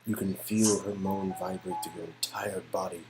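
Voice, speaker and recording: A man reading out the narration of an interactive romance game in a soft storytelling style. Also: deep voice, fairly even intonation, moderate pace, music in the background, rough recording. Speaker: narrator and performer.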